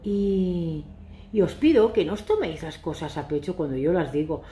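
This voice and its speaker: A woman in her thirties, from Catalonia, chatting to camera in a casual, ironic, rambling way, a little hoarse. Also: lowish voice, expressive, moderate pace, mellow, echoey room, slight background noise. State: hoarse from shouting. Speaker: vlogger. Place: living room sofa, indoors. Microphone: phone camera at arm's length.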